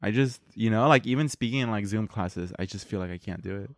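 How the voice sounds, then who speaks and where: A man's voice: on the low side, fast, no echo, studio quality. A young man speaking as a podcast host in casual, slangy chat. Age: young adult.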